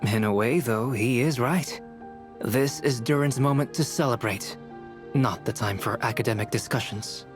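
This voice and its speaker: A man voicing a calm, scholarly young male alchemist with a measured, polite, thoughtful manner in a video game. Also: mid-range voice, natural voice. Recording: game audio with faint music behind.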